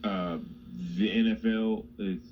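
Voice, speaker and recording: A man's voice: lowish voice, steady tone, slow pace. A man giving talk radio commentary, heard through a radio receiver. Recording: dull, noisy AM radio reception.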